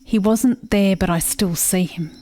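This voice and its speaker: Actress as a slow, haunted settler woman. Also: mid-range voice, mellow, lightly acted. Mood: haunted, wistful. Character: grieving, haunted settler woman remembering the forest. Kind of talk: lyrical radio play monologue.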